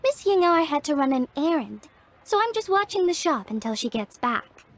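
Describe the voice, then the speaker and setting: An actress playing a polite, earnest and excitable young craftswoman in a video game. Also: sits high, moderate pace, a little hiss or hum.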